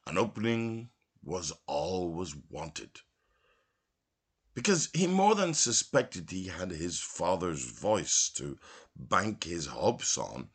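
A man from Belfast reads literary prose aloud in a measured, theatrical narrating style. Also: deep voice, very animated, a bit bright, husky. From Belfast, Northern Ireland. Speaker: literary reader. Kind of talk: expressive literary audiobook narration.

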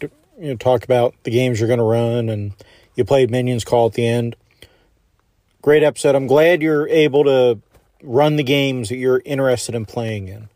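A man's voice: medium pitch, darkish tone, dry recording. A man speaking casually as a listener leaving a voice message for a podcast. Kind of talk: casual chat.

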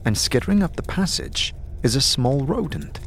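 A man narrating a scripted fantasy audio drama in a measured, dramatic storytelling manner. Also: medium pitch, lively intonation, slight background noise.

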